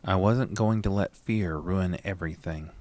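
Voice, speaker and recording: A man playing an anxious, haunted narrator in a first-person horror audio drama, deliberate and suspenseful. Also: low-pitched, heavy background noise, close to an everyday voice. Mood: tense dread.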